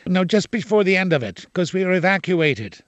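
A man's voice: sits high, expressive, brisk, no room sound, dull audio. Older male actor, Dublin accent, frank talker, bone-dry studio sound. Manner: expansive, frank, opinionated. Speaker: actor and playwright. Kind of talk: interview.

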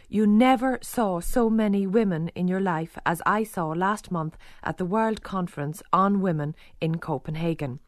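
Female broadcaster, measured documentary narration, dry close studio sound. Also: medium pitch, darkish tone, no room sound. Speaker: broadcaster and reporter. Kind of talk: scripted radio documentary narration.

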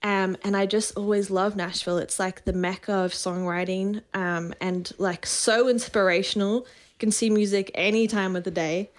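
A woman in her thirties with an Australian accent touched by a soft Irish lilt, chatting brightly as a songwriter guest on a radio show and laughing often. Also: mid-range voice, steady tone, moderate pace. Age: 30s. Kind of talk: casual radio interview. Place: remote call from Nashville.

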